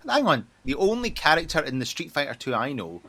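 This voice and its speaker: A man with a husky voice, chatting casually about films. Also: a little high, sing-song, fairly quick, pushed and bright. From United Kingdom. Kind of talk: casual film discussion.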